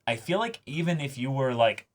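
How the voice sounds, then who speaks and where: A man talks through an episode as a reaction host. His voice sits at an average pitch. He is earnest and halting, feeling for words in a casual chat. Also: big pitch swings.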